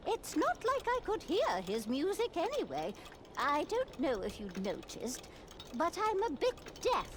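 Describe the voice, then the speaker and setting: Game nun clockmaker: high-voiced, animated, muddled and kindly, slightly deaf, some background ambience. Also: female voice, slight background noise. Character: elderly-seeming, slightly deaf nun clockmaker, gentle and absorbed in repairs. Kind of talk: video game character dialogue.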